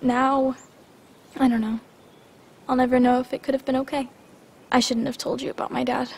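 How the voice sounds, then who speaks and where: High, vulnerable young girl. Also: little pitch movement, moderate pace, vocal fry, slight background noise. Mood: regretful, confiding. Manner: hesitant, confiding. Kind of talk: dramatic video game acting.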